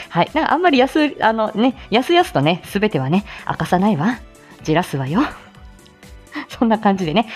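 Lively middle-aged woman chatting over soft music. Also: medium pitch. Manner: chatty, giggly, polite, speaks to listeners by turns. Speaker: host of a live audio show; speech-language therapist. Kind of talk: casual morning livestream chat with announcements.

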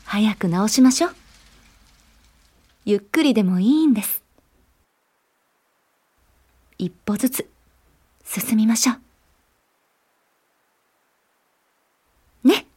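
An actress playing a caring, determined young social worker in a radio drama, earnest and gently persuasive. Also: female voice, fairly high pitch, animated, normal speed, husky. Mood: gentle, reassuring.

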